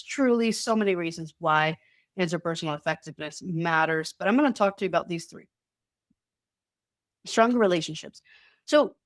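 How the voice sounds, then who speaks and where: A woman giving a casual online talk with slides, slightly nasal from a cold, with halting speech and frequent restarts. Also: lowish voice, dry recording, clean studio sound. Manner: halting, many restarts and repeated words. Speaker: presenter.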